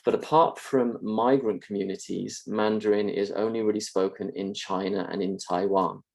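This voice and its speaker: A man, a university linguistics lecturer, explaining ideas in a measured, calm lecture style. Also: lowish voice, vocal fry. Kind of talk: scripted mock lecture.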